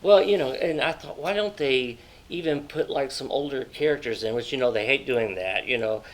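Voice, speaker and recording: A man in his thirties hosting an interview in a small studio, eager and chatty with quick agreements. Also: medium pitch. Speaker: talk show host. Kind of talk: casual interview. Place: small studio set with couch.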